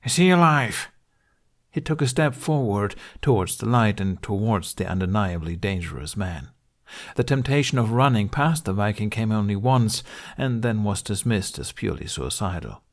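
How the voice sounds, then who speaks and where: A man's voice: lowish voice, animated, moderate pace. A man reading fiction as an audiobook narrator, in a dramatic scripted storytelling style.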